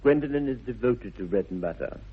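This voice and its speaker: An actor playing a witty, self-indulgent young Victorian gentleman with an upper-class English accent, arch and languid, in 1960s British radio comedy style. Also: mid-range voice, lively intonation, brisk, breathy edge, phone-quality sound. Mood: mock indignant. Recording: thin, band-limited old radio recording.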